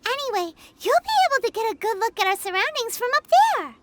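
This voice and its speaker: A woman voicing a small floating fairy companion who is excitable, bossy and refers to herself in the third person. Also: extremely high pitch, fast, heavy background noise. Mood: chirpy. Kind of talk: video game voice acting. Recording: game audio with loud music.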